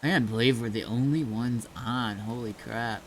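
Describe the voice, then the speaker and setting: A man's voice: medium pitch, moderate pace, slight background noise. A man chatting casually and informally in a laid-back way while playing an online roleplay game. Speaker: player in an online zombie survival roleplay. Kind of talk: casual gaming chat.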